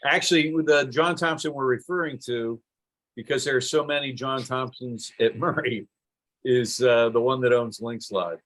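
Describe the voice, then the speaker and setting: A man hosting a casual podcast interview, relaxed and conversational as he asks questions. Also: medium pitch, moderate pace. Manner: relaxed and conversational, with fillers and restarts. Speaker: podcast host.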